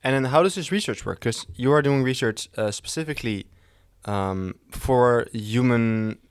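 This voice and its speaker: Halting young male podcast host. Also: mid-range voice, clear, resonant tone, no echo, clean studio sound. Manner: halting, repeats words, restarts questions. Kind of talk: interview hosting.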